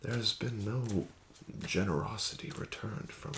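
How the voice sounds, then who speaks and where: A man doing an ASMR roleplay as a casino dealer, formal and courteous, slipping into soft whispers. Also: fairly low pitch, a little slow, gravelly, noisy background.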